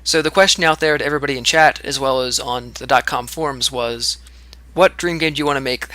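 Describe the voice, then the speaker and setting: Bright, teasing male podcast co-host. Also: medium pitch, fairly quick, no echo. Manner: teasing, upbeat. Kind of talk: casual podcast chat.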